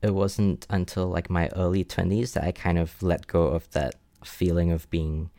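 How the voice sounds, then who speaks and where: A young person in their twenties from Singapore, telling their life story in a relaxed, casual way with many "like"s and restarts. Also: male voice, low-pitched, dry recording. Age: 20s. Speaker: interview guest.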